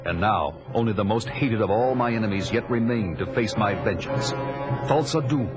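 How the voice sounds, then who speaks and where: A man's voice: lowish voice, animated, normal speed, natural voice. An actor playing a vengeful, battle-hardened barbarian who tells his own tale in solemn, declamatory audio drama style. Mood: grave, urgent. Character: vengeful, battle-hardened male barbarian warrior, grim and proud. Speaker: narrator and lead actor. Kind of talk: dramatic first-person audio drama narration. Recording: loud music behind the voice.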